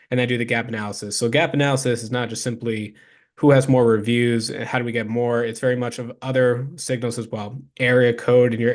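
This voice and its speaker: A man giving an informal explanatory presentation as a consultant, speaking directly to the viewer with practical advice. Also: mid-range voice. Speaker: local SEO consultant presenting a case study.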